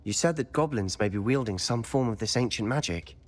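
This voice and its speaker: A man's voice: medium pitch, expressive, brisk, lightly acted. A man playing a brooding, persuasive teenage wizard in a video game, serious and confiding in manner. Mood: intent, confiding. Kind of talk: video game cutscene acting. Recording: long hall-like reverb.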